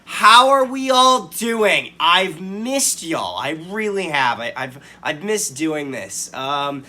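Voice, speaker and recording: A man with a slightly scratchy voice hosting a sports podcast, recapping a hockey game in an animated, informal way and often interrupting himself. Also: on the high side, slow.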